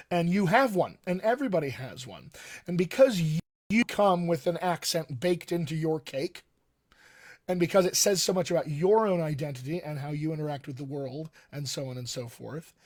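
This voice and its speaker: Higher-voiced American man coaching emphatically. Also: a little mellow. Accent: general American. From Colorado, United States. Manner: emphatic, repeats key points for stress. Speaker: dialect coach, session host. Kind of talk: informal live-stream teaching talk.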